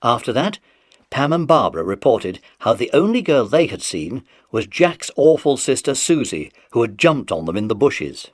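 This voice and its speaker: A man's voice: medium pitch, big pitch swings, moderate pace, no echo, studio quality. A British man narrating a scripted children's audiobook story.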